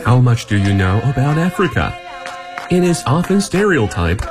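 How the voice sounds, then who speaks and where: A man's voice: mid-range voice, very animated, normal speed. A man reading scripted radio promotional narration in a dramatic, emphatic manner. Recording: music under the voice.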